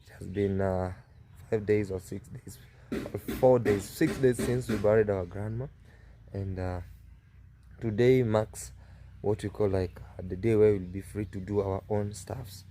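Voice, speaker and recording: A young man vlogging at his family home, soft-spoken and mournful, with a hoarse voice and many fillers. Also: on the low side, steady tone, unhurried, slight background noise. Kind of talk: casual vlog to camera.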